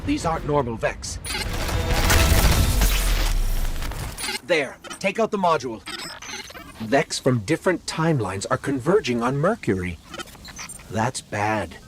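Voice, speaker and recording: A man's voice: mid-range voice, wildly swooping pitch, normal speed, creaky, heavy background noise. A man voicing a small, earnest robot companion in a video game cutscene, talking conversationally. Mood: urgent, concerned. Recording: loud music under the voice.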